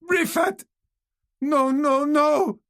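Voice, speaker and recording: A man voicing a glamorous middle-aged woman who turns hysterical and scornful, raising his voice to breathless, shrill cries. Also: expressive, normal speed, a bit bright, no echo, studio quality.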